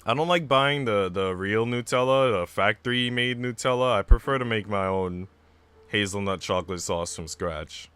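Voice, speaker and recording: A man livestreaming a visual novel, moving between casual, chatty musing and expressive story narration. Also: on the low side, normal speed, smooth, no echo. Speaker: streamer reading a visual novel aloud. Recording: music playing behind the voice. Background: background music.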